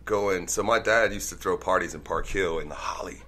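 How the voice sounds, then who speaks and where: A man's voice: sits low, fairly quick, sharp tone. A man in his forties from Denver, a guest DJ telling stories in casual podcast chat, laid-back and laughing easily. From Denver, Colorado, United States. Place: small studio room crowded with posters. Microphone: desk microphone on a stand.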